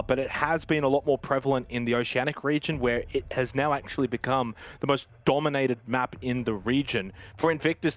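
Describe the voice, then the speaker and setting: A man giving live commentary and analysis on an esports match. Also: mid-range voice.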